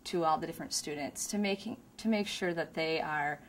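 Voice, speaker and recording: A woman teacher with a very low voice speaks sincerely about her class. She talks plainly, and there is faint noise in the room behind her. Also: brisk. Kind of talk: documentary interview.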